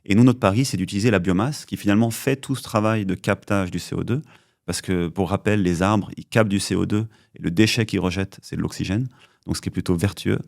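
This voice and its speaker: A man from western France answers podcast questions in a slow, explanatory manner, pausing often on his fillers. His voice sits very low with a gravelly edge. The sound is dry and close, with no room around it. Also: fairly even intonation, darkish tone, dry recording. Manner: measured, explanatory, hesitant fillers. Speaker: company co-founder, chemist.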